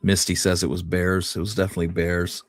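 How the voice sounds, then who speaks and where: A man's voice: low voice, little pitch movement, fast talker, dry recording. A man co-hosting a history podcast, talking casually and thinking things through aloud. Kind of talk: casual conversational discussion.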